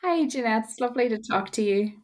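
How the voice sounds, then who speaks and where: A woman with a Northern Irish accent, a law academic talking thoughtfully as a podcast guest, with many small pauses and restarts. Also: a little high, animated. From Northern Ireland. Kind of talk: podcast interview.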